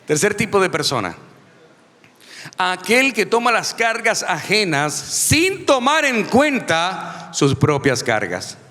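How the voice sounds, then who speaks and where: A middle-aged man preaching a lively sermon to a church, emphatic and repetitive, with touches of humor. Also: sits high, takes it slowly, long reverb tail. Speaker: pastor.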